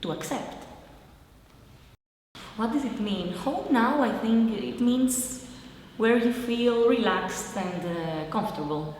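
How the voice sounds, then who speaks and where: A woman's voice: a little high, expressive, takes it slowly, a little mellow. A young woman with a Greek accent, a native Greek speaker, giving a reflective personal account in simple, searching non-native English. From Greece. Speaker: interviewee, immigrant living in Italy. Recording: very echoey reverberant hall, faint noise.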